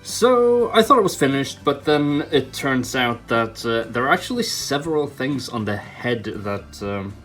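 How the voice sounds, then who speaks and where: A man's voice: mid-range voice, very animated, a little slow, hardly any pauses, a bit bright, soft background music. A man giving a casual hobby tutorial, thinking aloud informally as he works. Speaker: toy customizer presenting own channel.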